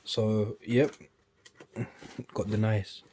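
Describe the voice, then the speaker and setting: A young man, casually chatting and rambling through an unboxing on his vlog, often trailing off quietly between livelier bursts. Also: fairly low pitch, level tone, drawn-out words, background noise. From United Kingdom. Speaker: sneaker YouTuber.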